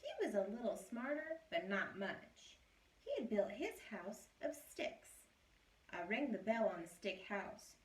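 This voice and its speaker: A woman's voice: mid-range voice, big pitch swings, unhurried. A woman reading as a wronged wolf. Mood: aggrieved, chatty. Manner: chatty, wry, self-justifying storytelling. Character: self-pitying male wolf telling his side. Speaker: teacher reading aloud. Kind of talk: storybook read-aloud. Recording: roomy echo, distant mic.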